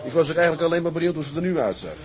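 A man's voice: on the high side, lively intonation, racing, a crowd in the background, lightly acted. An actor playing a decisive, businesslike Dutch seaman who leads a group, in audio drama dialogue. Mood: brisk, businesslike. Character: decisive male Dutch seaman leading a shipping venture. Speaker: leader of a group of seamen.

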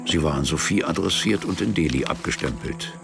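An actor narrating a literary radio play in a measured, matter-of-fact, sombre way. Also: male voice, a bass voice, vocal fry. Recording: music behind the voice. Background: music.

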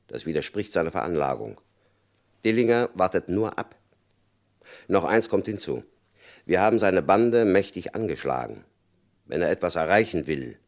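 An actor playing a stern, shrewd male FBI agent in a radio crime drama, speaking in a calm, probing, knowing way. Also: deep voice. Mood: determined.

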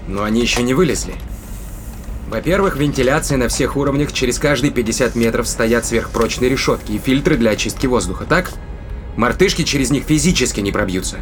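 A man acts a spaceship captain in a sci-fi audio drama. His mid-range voice is determined and emphatic as he reasons deliberately, with faint music behind him. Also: mid-range voice, lively intonation, natural voice. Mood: tense, insistent.

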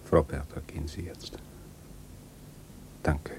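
An actor playing a quietly broken, bewildered husband in a radio drama, grieving in halting, creaky, repeated phrases. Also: male voice, rumbling low, level tone, in a whisper, full character voice. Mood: numb, resigned. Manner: halting, subdued, repeating himself. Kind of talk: 1960s German radio drama acting.

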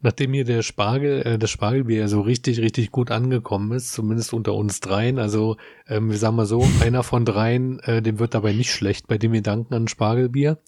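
A man's voice: medium pitch, fairly even intonation, brisk, hardly any pauses, warm tone, no room sound, clean studio sound. A man from Berlin co-hosting a casual podcast, chatty and dotted with fillers. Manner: chatty, many "äh" and "ähm" fillers, laughs often. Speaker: podcast co-host. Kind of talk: casual chat.

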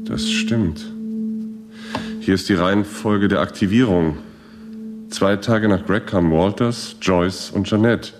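A man playing an earnest, reasoning spaceship crew leader in a German radio drama, speaking deliberately. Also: sits low, music in the background. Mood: concerned, businesslike.

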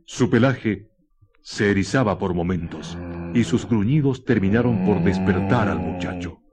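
A man narrating a scripted radio horror drama in a deliberate, suspenseful manner with weighted pauses. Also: sits low, noisy background. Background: faint music.

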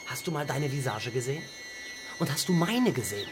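A man's voice: medium pitch, expressive, brisk, background noise, natural voice. An actor playing a bitter young man in a radio crime drama, taunting and threatening his father with contemptuous swearing.

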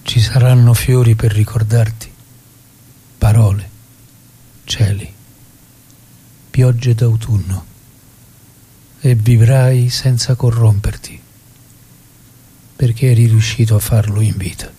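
Very husky, slow mournful man. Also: mid-range voice, steady tone, dark tone. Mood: grave, mournful. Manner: slow, grave, pausing delivery. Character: solemn male mourner reciting a poetic farewell elegy. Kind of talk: theatrical poetic recitation.

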